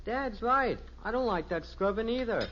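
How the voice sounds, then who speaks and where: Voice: mid-range voice, animated, moderate pace, natural voice. A performer playing an eager, earnest, boyish teenage son in a 1950s radio sitcom. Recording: muffled, faintly noisy vintage radio recording.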